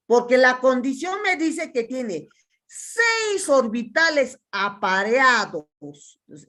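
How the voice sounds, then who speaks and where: A woman's voice: a little high, fairly bright tone. A Peruvian woman teaching a chemistry class, working through exercises and asking her students questions that she often answers herself. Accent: Peruvian Spanish. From Peru. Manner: asks students questions and answers them herself, counting step by step. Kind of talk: classroom lecture solving exercises.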